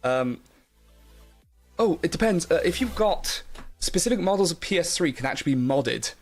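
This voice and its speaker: A man's voice: a little high, expressive, normal speed. Young guy rambling on stream, loads of ums and likes, faint music under him. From Wales. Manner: rambling, chatty, lots of fillers and restarts, laughs often. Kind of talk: casual live stream chat.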